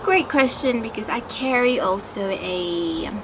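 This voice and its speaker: A young woman with a soft voice answers viewer questions in a casual vlog, chatty and self-interrupting, often losing her words and laughing at herself. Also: on the high side, measured pace. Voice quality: soft, not loud. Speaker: vlogger. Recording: audible background noise.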